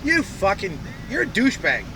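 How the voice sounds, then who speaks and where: A man's voice: sits high, huge pitch swings, normal speed, bright, forceful tone, a little hiss or hum. A man co-hosting a podcast in casual banter, grumpy, curt and freely swearing. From Canada. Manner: grumpy, curt, foul-mouthed. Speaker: podcast co-host.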